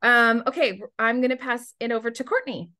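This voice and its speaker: A woman's voice: on the high side, a little fast, bright, forceful tone. A woman hosting a webinar in an informal, chatty, slightly hesitant way. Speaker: panel host, admissions committee staffer. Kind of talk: hosting an online webinar, casual introductions.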